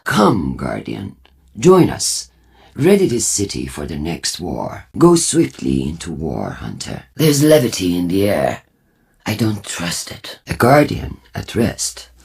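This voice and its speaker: An actor playing a zealous, cryptic robotic war-cult leader in a video game, solemn and theatrical, with a husky, gravelly voice. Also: male voice, low-pitched, darkish tone, exaggerated voice. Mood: grave, brooding. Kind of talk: scripted video game character dialogue.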